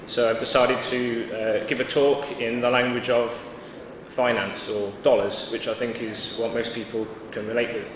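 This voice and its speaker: A man's voice: mid-range voice, little pitch movement, normal speed, far from the mic. A man from Wales giving a conference presentation, hesitant, with many fillers and restarts. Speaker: conference speaker. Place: large conference hall. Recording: echoey hall, dull sound, noisy.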